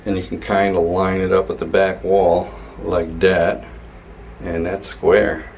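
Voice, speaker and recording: A man's voice: sits low, flat delivery, measured pace, highs cut off. A man casually narrating his hands-on repairs in a wry, joking way. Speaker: host, DIY repair vlogger. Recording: clear background noise.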